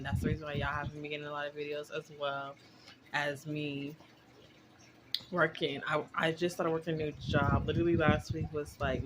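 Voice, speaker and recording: Candid woman in her twenties, very noisy recording. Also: low voice. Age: 20s. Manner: candid, rambling, conversational. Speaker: vlogger. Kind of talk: casual chatty vlog monologue.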